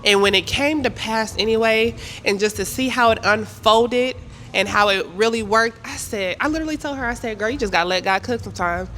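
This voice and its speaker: A woman's voice: fairly high pitch, brisk, bright, forceful tone, slight background noise. A woman faith coach, telling her story in a playful, sassy way. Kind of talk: panel talk.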